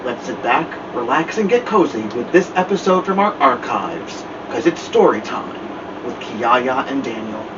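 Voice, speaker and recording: A man's voice: mid-range voice, expressive, measured pace, hardly any pauses, distant mic, highs cut off, background noise. A man hosting a story time, introducing picture books to young listeners. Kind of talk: picture book read-aloud introduction.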